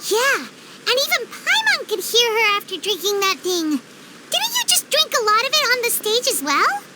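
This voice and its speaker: Game casting: chirpy little fairy sidekick, extremely high squeak, hugely animated, over loud noise. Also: female voice, moderate pace. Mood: curious. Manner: squeaky, eager, exclamatory. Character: tiny childlike fairy companion, excitable, chirpy. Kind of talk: cute video game voice acting.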